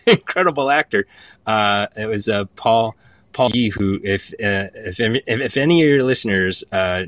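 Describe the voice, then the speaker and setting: A man with a low voice talks casually as a guest writer on a panel. He is emphatic and repeats phrases to make his point. The sound is very dry, with little room in it. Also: a bit bright, no echo. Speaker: playwright, podcast guest.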